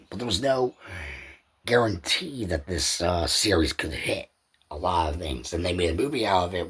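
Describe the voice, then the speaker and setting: A man's voice: low voice, fairly bright tone, slightly rough. A man hosting a podcast, talking in a casual, rambling solo commentary that loosely circles back over points. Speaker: podcast host.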